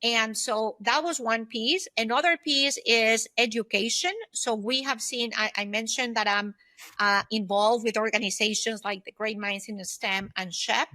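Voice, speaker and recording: Female, 50s, interview guest. Bright, forward voice, slightly high, dry close sound, earnest explainer. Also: steady tone, unhurried, dry recording. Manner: earnest, explanatory, often checks in with "right?". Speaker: podcast guest, consultant and former aerospace executive. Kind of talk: conversational interview answers.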